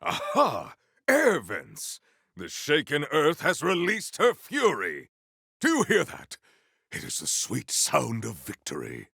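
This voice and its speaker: Video game warrior, solemn and grandiose, stiffly formal diction, rough creaky voice, very dry close studio sound. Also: male voice, fairly high pitch, wildly swooping pitch, takes it slowly, bright, forceful tone, dry recording, exaggerated voice. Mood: bold, grandiose. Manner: formal, stilted, declamatory speech without contractions. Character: solemn, proud warrior hunter with stately grandiose temper. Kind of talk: video game character acting.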